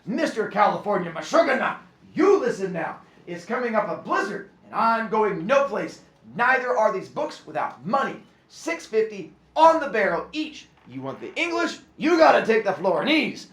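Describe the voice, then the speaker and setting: A man voicing a cranky old male bookseller with a thick accent who haggles and shouts. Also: high-pitched, roomy sound, pitched above the performer's own voice, exaggerated voice.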